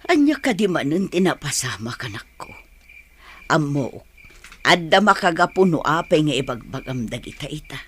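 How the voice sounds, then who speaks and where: A caring, long-suffering radio drama mother, with a lively range of pitch. Faint noise sits behind her words. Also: normal speed. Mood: worried.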